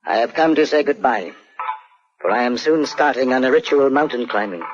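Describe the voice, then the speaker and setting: A man's voice: mid-range voice, expressive, moderate pace, close to an everyday voice. An actor playing a grave, stately lord and father with a heavy heart, in an old radio drama. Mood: grave, concerned. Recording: dull vintage radio recording, music behind.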